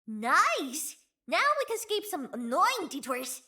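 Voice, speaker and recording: A scrappy, boastful young female leader of a crew for a video game. Her voice sits high and bright, with wide leaps in pitch. She talks at an unhurried pace, drifting into hushed, wistful tones in memories. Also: big pitch swings, exaggerated voice. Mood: cocky. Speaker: voice actor. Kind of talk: video game character voice lines.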